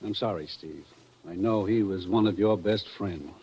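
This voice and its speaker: An actor with an American accent playing an authoritative, businesslike commissioner in a 1940s radio drama. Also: male voice, low-pitched, normal speed, darkish tone, slight background noise, lightly acted. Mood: sombre. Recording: dull, lo-fi 1940s radio recording.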